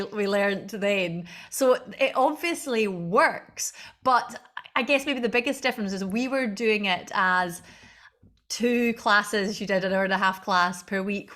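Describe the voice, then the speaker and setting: A woman leads the conversation as interviewer. Her voice sits at a middle pitch, and she speaks thoughtfully, often restarting a phrase before going on. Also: a bit bright.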